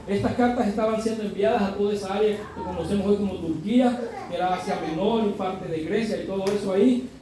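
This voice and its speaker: Preacher man, high voice, echoey hall. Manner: conversational, often adds tag questions; builds to loud emphatic exhortation. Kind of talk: sermon. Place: church hall. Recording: echoey hall from far off, faint ambient noise.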